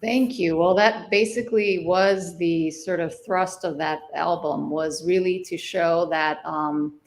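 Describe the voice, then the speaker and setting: A middle-aged woman from Hawaii talks in a casual interview about her music and life. Her voice sits low with a gravelly fry, and she searches for words with frequent fillers and restarts. She laughs easily between answers. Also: steady tone, a little slow. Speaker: jazz artist guest.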